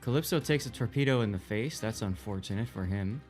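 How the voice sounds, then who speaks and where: A man's voice: fairly low pitch, expressive, brisk, a little mellow, soft background music. A man co-casting an esports match, commenting on the play in an understated, matter-of-fact way. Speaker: esports co-caster. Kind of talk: live game commentary.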